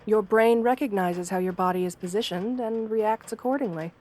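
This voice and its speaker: A woman voicing a sweet, professional wolf-girl therapist in a soft-spoken ASMR roleplay, speaking in a gentle, measured, reassuring way. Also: mid-range voice, lightly acted. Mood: calm, guiding.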